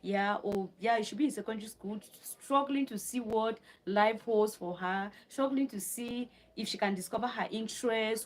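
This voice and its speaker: A woman's voice: mid-range voice, low-quality recording. Cameroonian woman hosting a livestream. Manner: repeats phrases, reads from notes, answers viewer comments, asks for likes. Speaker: livestream host. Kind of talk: live stream talk reading notes and chatting with viewers.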